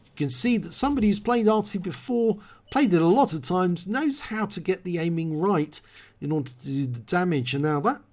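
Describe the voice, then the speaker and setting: Man, hoarse, high-pitched voice, calm explanatory game commentary. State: hoarse after a cold. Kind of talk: video game replay commentary.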